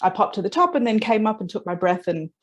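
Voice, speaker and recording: A woman being interviewed, telling personal stories in a conversational, reflective and expressive way with small check-in questions. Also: medium pitch, quick pace. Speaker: interview guest, transpersonal psychology practitioner.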